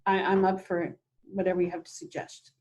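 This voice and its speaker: A woman's voice: on the low side, flat delivery, fairly quick, darkish tone, poor audio. A woman talking conversationally as a coaching client, in roleplay and reflection.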